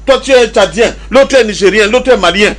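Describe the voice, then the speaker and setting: Cameroonian guy on a political debate panel, really high voice for a man, emphatic, repeats himself to make the point. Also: fairly quick. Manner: emphatic, repeats key phrases, rhetorical questions. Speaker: panelist in a pan-African debate.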